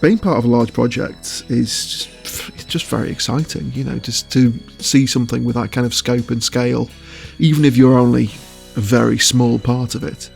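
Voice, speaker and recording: A man's voice: mid-range voice, fairly even intonation, moderate pace, creaky, a little mellow, soft background music. A man giving casual interview answers as a voice actor, choosing his words with hesitation and often chuckling.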